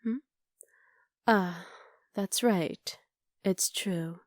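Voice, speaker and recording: A woman playing a haughty, menacing witch conqueror in an ASMR roleplay, speaking in soft, measured, formal sentences with a hushed, breathy delivery. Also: medium pitch, no echo, clean studio sound. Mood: calculating, proud. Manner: imperious, measured, formal diction.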